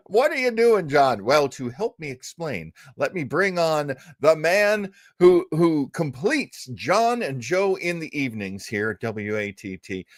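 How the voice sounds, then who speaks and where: A man's voice: fairly high pitch, dry recording, studio quality. A man hosting a live show with casual banter and a playful, exuberant showman's manner. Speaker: host.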